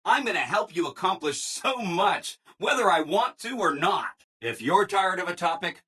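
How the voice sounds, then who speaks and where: A bright, forceful robot helper, relentlessly cheerful and servile. The voice swoops up and down with chirpy, exaggerated enthusiasm and sits a little high, in polished video game dialogue style. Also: male voice, sing-song, moderate pace, husky, exaggerated voice. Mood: cheerfully obliging.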